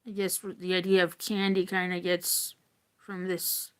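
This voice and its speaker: A woman teacher reading a story aloud expressively and deliberately, with casual explanatory asides. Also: lowish voice, little pitch movement, smooth.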